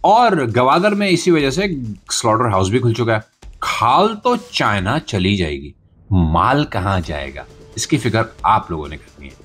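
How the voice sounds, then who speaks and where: Animated Pakistani man doing satirical commentary. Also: fairly low pitch, unhurried, slightly rough, soft background music. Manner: sarcastic, theatrical, rhetorical delivery. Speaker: podcast host. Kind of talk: satirical news commentary monologue.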